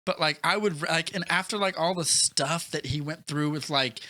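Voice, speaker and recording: A man's voice: a little high, moderate pace, sharp tone. A man co-hosting a podcast in casual film discussion, animatedly acting out scenes as he tells them. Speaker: podcast co-host reviewing a film.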